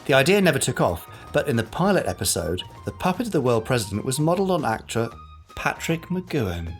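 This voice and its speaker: A man's voice: medium pitch, big pitch swings, a little fast, soft background music. British man, chatty podcast co-host. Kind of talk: casual podcast chat.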